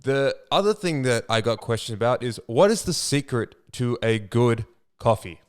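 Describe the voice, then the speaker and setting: A man's voice: mid-range voice, lively intonation, normal speed, smooth. A man hosting a podcast, asking questions in an encouraging, affirming way. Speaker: podcast host, fitness coach. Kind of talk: casual interview chat.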